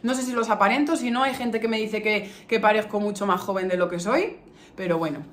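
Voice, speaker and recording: A woman's voice: medium pitch, steady tone, a little fast. A woman in her early thirties with a Castilian accent from La Mancha, chatting casually and affectionately to her viewers with emphatic repetitions. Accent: Castilian Spanish from La Mancha. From Albacete, La Mancha, Spain. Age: early 30s. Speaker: beauty vlogger. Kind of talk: casual chat to camera, answering viewer questions. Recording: echoey room with faint noise.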